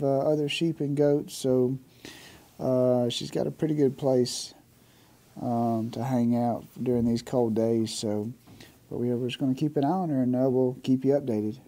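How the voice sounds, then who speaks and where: A man, talking casually to camera about his farm, nasal from a cold, halting with many fillers and restarts. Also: medium pitch, warm tone. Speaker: farm vlogger. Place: barn at night.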